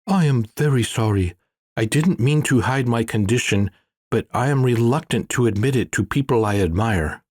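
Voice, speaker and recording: A man's voice: mid-range voice, normal speed, darkish tone, slightly rough, no room sound, voice pushed up, natural voice. A man voicing an earnest, hopeful Indian man who speaks in stiff, over-formal sentences, as an audiobook character. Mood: apologetic.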